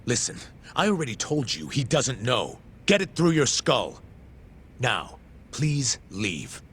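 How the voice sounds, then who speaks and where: A man's voice: mid-range voice, big pitch swings, slow, fairly bright tone, slight background noise, heavily acted. A man playing an irate, impatient director in a video game drama, brusque and exasperated. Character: irate, impatient male research center director. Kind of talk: English-dubbed video game drama acting.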